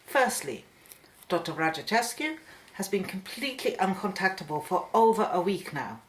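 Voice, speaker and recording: A woman's voice: lowish voice, sing-song, normal speed, a little hiss or hum. A woman playing an urgent, determined woman scientist in a radio play, grave and wary. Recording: roomy, echoing studio sound.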